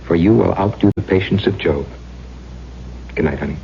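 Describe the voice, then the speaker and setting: An actor playing a breezy, devoted young boyfriend, casual and teasing, in an old-time radio drama. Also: male voice, low-pitched, normal speed, gravelly. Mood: teasing affection. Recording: dull, noisy old radio recording.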